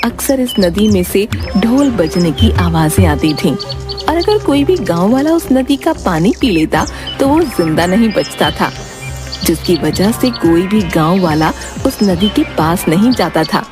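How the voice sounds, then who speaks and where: A woman narrates a cartoon storybook in a steady, even storytelling manner. Music plays clearly beneath her voice. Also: medium pitch, a little slow. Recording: background music.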